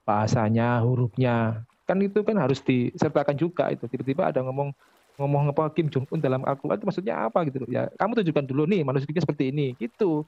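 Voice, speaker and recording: A man's voice: medium pitch, lively intonation, quick pace, creaky, no room sound. A man in a heated online debate, combative and demanding evidence. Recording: dry lo-fi audio.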